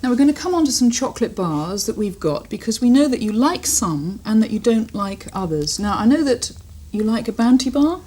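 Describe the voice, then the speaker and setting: A woman's voice: fairly high pitch, big pitch swings, brisk, few pauses, darkish tone. A British woman presenting on television in a chatty interview style, playfully teasing. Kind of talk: chatty studio interview with a child.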